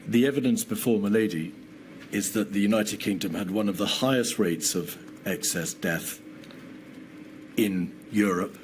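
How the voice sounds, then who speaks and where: A British man speaking as a barrister in formal, measured, assertive legal questioning, on a noisy hearing-room recording. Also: on the low side. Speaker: inquiry barrister.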